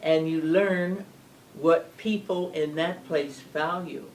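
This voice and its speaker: An older woman speaking as an interview guest, measured and emphatic, often asking rhetorical questions. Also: sits low, fairly even intonation, darkish tone. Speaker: guest, former legislator. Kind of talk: earnest interview talk. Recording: distant mic with faint background hum.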